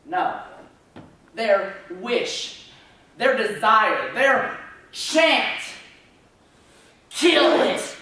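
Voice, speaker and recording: A man's voice: high voice, a bit bright, exaggerated voice. A man playing a slick, calculating circus owner in a theatrical stage monologue, with grand, declamatory delivery. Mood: intense. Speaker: actor in a student readers theater group. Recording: echoey hall, distant mic, faint noise.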